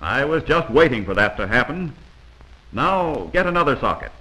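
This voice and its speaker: Bright, animated 1940s training film narrator, male. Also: medium pitch, normal speed, poor audio. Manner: instructive, emphatic. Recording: vintage 1940s film soundtrack.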